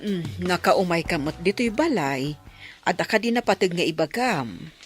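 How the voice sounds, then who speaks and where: A woman's voice: on the low side, animated, moderate pace, music in the background, close to an everyday voice. A woman with an Ilocano accent playing a kindly, encouraging madam in a radio drama. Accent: Filipino, Ilocano. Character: kindly, encouraging adult woman, addressed as ma'am.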